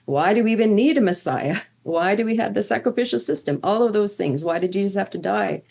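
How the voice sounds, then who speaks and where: A woman's voice: mid-range voice, expressive, fairly quick, vocal fry, a little mellow. A middle-aged woman originally from Sweden, a seminary professor, answering interview questions earnestly with many fillers and restarts. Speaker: seminary professor of Old Testament.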